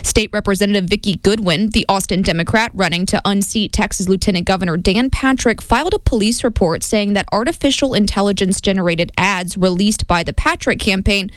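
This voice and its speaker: Woman radio news anchor, formal read, mid pitch, dry mic. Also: a little fast, hardly any pauses, no room sound. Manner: steady, formal news reading. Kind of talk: newscast.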